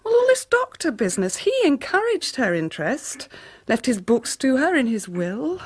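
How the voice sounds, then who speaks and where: A woman's voice: high voice, big pitch swings, slightly rough, no room sound, full character voice. Genteel, stern English mother in dry sound. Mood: exasperated. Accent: English upper-class. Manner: formal, measured, admonishing. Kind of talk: 1990s radio drama acting.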